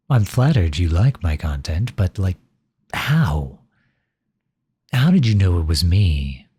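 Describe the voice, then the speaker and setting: Male, actor in character, grumpy tsundere for ASMR roleplay: low, husky, unhurried, sarcastic and exasperated. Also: warm tone, full character voice. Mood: puzzled, wary. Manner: sarcastic, flustered, grudging.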